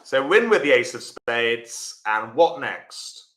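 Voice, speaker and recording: Bloke teaching live online, chatty, says hi to viewers, bright voice in a roomy echoey space. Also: male voice, mid-range voice, sing-song, slow pace. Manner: chatty, talks to viewers by name while explaining. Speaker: bridge teacher.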